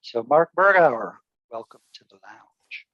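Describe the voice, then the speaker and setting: Husky man hosting, dry dull sound. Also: medium pitch, expressive, unhurried. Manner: self-deprecating. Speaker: host introducing a guest speaker. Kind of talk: spoken introduction to an online talk.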